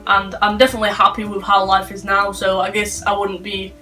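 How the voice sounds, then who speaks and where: A young man, giving calm, earnest answers as an interview guest. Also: high voice, fairly quick, distant mic, soft background music, low-quality recording. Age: late teens.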